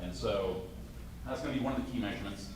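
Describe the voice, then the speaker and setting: A man presenting a live costume-making demonstration at a convention panel, talking in a halting way with many restarts, his voice slightly hoarse. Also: on the low side, crowd noise. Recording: echoey room, mic far off.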